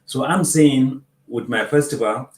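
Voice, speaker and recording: A man, a film producer and festival organizer, explaining his points in a measured way with hesitant pauses. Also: mid-range voice, roomy sound. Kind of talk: interview answers.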